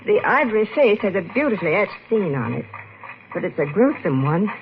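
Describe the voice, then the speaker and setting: A breezy, well-spoken newlywed in a 1940s radio play. She is polite and very expressive, with lively swings of pitch. The old recording is dull and noisy. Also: brisk. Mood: breezy, chatty. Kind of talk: 1940s radio drama acting.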